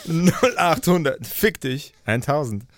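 A man's voice: fairly high pitch, expressive, slow, no echo, clean studio sound. A man co-hosting a podcast in casual chat, exclamatory and repeating himself. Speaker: podcast co-host.

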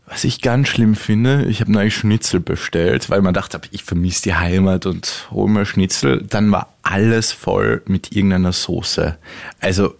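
A man's voice: deep voice, unhurried, vocal fry. Man, austrian accent, podcast host, emphatic indignant banter. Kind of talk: casual podcast chat.

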